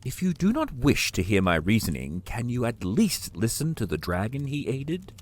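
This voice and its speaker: An actor playing a calm male keeper of the afterlife who speaks in a measured, formal, persuasive way. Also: medium pitch. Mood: reasoning.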